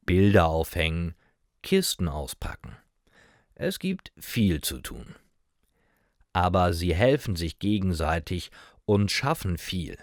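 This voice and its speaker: Slow, low-voiced man narrating. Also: dry recording, clean studio sound. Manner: simple short sentences, carefully paced for learners. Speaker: narrator of German learning stories. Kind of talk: scripted storytelling narration for beginner language learners.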